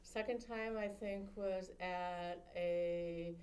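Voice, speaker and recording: A woman's voice: on the low side, steady tone, slow pace, a little mellow, slight background noise. A woman hosting an interview show, chatting with her guest in an effusive, complimentary way. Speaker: talk show host. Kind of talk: warm interview chat.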